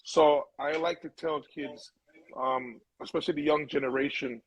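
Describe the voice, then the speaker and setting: A man's voice: on the high side, steady tone, normal speed, husky, dull audio. A middle-aged man from Brooklyn with a Jamaican accent, giving conversational advice as an interview guest in an emphatic, preachy way. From Brooklyn, New York. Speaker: interview guest, musical elder.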